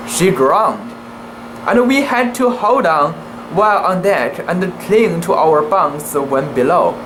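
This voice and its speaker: Slow, careful amateur reader, faint background hum. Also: medium pitch. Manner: halting, careful reading with imperfect pronunciation. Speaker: amateur narrator. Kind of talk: dramatic reading of a literary sea story.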